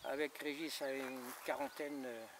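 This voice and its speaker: A man in his fifties chatting casually in a radio check, halting and repetitive, handing the turn back. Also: mid-range voice, flat delivery. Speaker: amateur radio operator. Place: outdoors in a gravel yard by a house. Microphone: camera a few metres away. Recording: distant camera mic, noisy open air.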